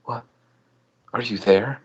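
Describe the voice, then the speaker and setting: A man's voice: mid-range voice, slow, darkish tone, slightly rough, muffled recording, voice pushed up. A man voicing a lovestruck, fearful young princess in a hesitant, imploring way for a story reading. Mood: startled.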